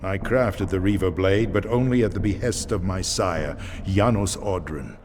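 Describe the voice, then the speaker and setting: An actor playing an ancient, weary vampire swordsmith in a video game, solemn and portentous in delivery. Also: male voice, deep voice, moderate pace. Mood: weary, resigned. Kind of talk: dramatic video game cutscene acting. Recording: music behind the voice.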